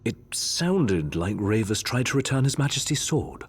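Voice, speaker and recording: An actor playing a cautious, analytical royal adviser in a video game, with precise and formal phrasing. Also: male voice, mid-range voice, very animated, moderate pace, breathy edge. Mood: concerned. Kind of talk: video game cutscene acting. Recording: music over the voice.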